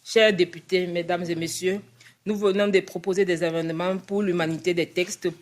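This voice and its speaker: A woman's voice: fairly low pitch, fairly even intonation, moderate pace. A woman reading a prepared statement on legal rules at a meeting, stumbling and restarting over the formal wording. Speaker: speaker at a parliamentary meeting.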